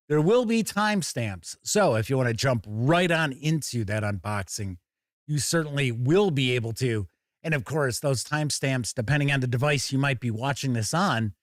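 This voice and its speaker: A man hosting a casual live tabletop gaming stream, a little raspy and hoarse from being under the weather, talking in a folksy, upbeat way. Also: mid-range voice, no room sound, clean studio sound. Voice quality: slightly raspy. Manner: upbeat, folksy greetings, chatty. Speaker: host. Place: home studio.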